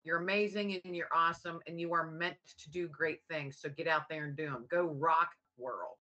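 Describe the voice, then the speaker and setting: An American woman guest on a podcast, upbeat and encouraging, with a low raspy, gravelly voice. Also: steady tone, normal speed, bright, forceful tone, highs cut off. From United States. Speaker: guest, author. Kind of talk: casual chat.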